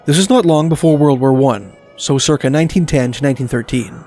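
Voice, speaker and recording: A man narrating scripted documentary stories of eerie places in a measured, formal, articulate storytelling manner. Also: mid-range voice, warm tone. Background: music.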